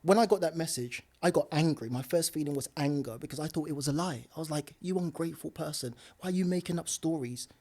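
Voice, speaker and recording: Emphatic, laughing man, higher voice. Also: fast, few pauses. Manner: animated, emphatic, laughs often, repeats words. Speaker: podcast guest, property investor. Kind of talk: casual podcast conversation.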